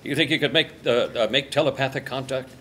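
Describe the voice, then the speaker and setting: A man's voice: mid-range voice, fairly even intonation, quick pace, bright, forceful tone, natural voice. A man playing a pompous, dim, sarcastic crew member in a sci-fi comedy table read, with faint room noise. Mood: dramatic.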